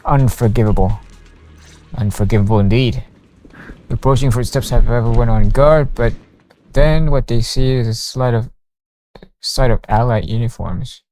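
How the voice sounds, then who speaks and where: A man doing casual game stream commentary, muttering short reactions and haltingly reading on-screen text aloud. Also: mid-range voice, a little mellow. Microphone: streamer microphone over game audio. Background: faint game music.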